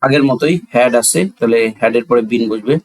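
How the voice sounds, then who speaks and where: Male tutor, Bangladeshi accent, mid-pitched voice; instructional delivery, walks learners through each step with self-answered questions. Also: fairly even intonation, normal speed. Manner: instructional, asks and answers own questions, switches between Bengali and English. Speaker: English grammar teacher. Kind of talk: classroom-style lesson, explaining step by step.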